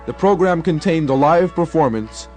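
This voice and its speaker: A man's voice: on the high side, lively intonation, moderate pace, a little mellow, faint music behind. A young man reading scripted narration for a radio documentary. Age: young adult.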